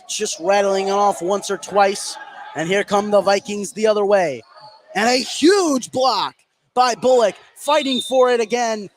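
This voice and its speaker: A man calling live high school basketball on the radio as play-by-play commentator, getting excited on big plays. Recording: gym noise behind the voice. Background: gym ambience, bouncing basketball.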